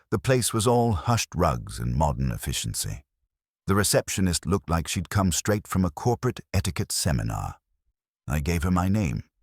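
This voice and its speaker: A man's voice: low voice, no echo. A man narrating a first-person detective story in a dry, wry manner. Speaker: audiobook narrator.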